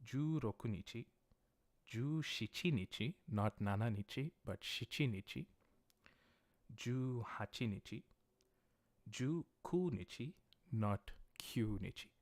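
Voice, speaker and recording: A man's voice: mid-range voice, lively intonation, no room sound. A man whose first language is English, teaching a livestreamed Japanese lesson and patiently explaining patterns step by step. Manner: patient, explains step by step, reads viewer questions aloud; slows down to pronounce Japanese words carefully.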